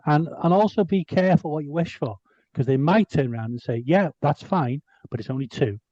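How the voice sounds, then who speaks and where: A man with a mellow voice tells a wry story during a rugby podcast. His recording sounds dull and dry. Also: fairly high pitch, animated, brisk, no room sound. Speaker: podcast co-host. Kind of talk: casual rugby chat.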